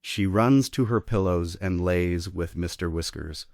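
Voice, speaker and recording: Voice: deep voice, unhurried, darkish tone, no room sound, studio quality. A narrator reading scripted stage directions at a theatre table read.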